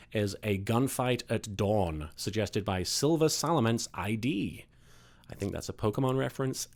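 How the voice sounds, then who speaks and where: A man's voice: mid-range voice, a little mellow. A man hosting and narrating a scripted comic story, with some casual introductory talk. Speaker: host and fan fiction reader.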